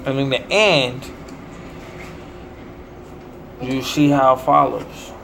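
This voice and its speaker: A man's voice: medium pitch, unhurried, gravelly, fairly bright tone, slight background noise. An American man delivering a rambling monologue in short, declamatory, preacher-like phrases. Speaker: self-promoting musician.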